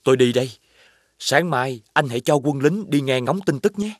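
An actor playing a brave, loyal young warrior in an animated folk tale, earnest and resolute in his delivery. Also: medium pitch, normal speed, dry recording, studio quality, lightly acted. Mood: determined.

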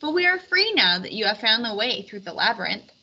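A woman's voice: big pitch swings, brisk, sharp tone, breathy edge, dull audio, heavily acted. A woman voicing a jovial, grand king with a proclaiming manner in a storybook read-aloud. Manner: booming, proclaiming.

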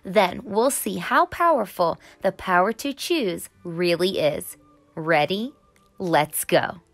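A woman's voice: lowish voice, animated, slow pace. A woman voicing an eager, brave young boy superhero in training, earnest and dramatic, in a storybook. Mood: eager, proud. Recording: music under the voice.

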